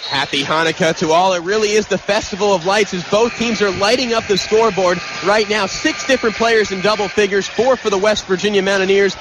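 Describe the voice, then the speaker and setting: A man doing live radio basketball play-by-play as the announcer, in excitable, punchy, clipped phrases. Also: sits high, fairly quick, few pauses, low-quality recording. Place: courtside in an indoor arena. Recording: loud arena noise behind. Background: loud arena crowd noise.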